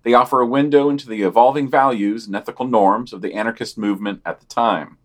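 A man co-hosting a podcast, reading quoted news items and giving deadpan, critical comments. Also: mid-range voice. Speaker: podcast co-host.

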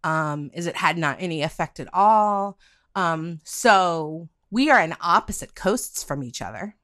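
A woman hosting a podcast in casual chat, halting and full of fillers. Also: lowish voice, lively intonation, fairly bright tone, no echo, studio quality. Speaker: podcast host.

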